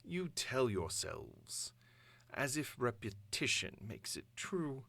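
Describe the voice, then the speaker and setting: A man performing a grandiose supervillain dictator's monologue, pompous and deliberate, addressing the world in imperious, theatrical declamation. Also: mid-range voice, big pitch swings, gravelly, fairly bright tone, dry recording, exaggerated voice. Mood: stern, imperious. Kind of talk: dramatic villain monologue.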